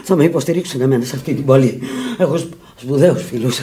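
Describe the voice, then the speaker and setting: An actress playing a sick, drunken, embittered fading prostitute in a Greek radio drama, low and weary, rambling and repeating herself. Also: female voice, warm tone. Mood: furious, threatening. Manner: rambling, repetitive, volatile. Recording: old radio recording with hiss and clicks.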